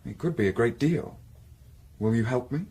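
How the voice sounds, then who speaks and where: An actor playing a polite, smooth-talking young Victorian scholar in a radio drama. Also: male voice, medium pitch, expressive, moderate pace, a little mellow. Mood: smooth, conspiratorial.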